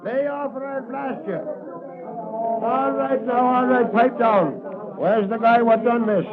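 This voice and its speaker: A loud-mouthed policeman with a very high-pitched voice and street slang. He blusters like a 1940s radio sidekick, on a tinny vintage recording with a busy crowd behind him. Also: fairly even intonation, unhurried. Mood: blustering. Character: gruff, blustering Irish beat cop, loyal sidekick.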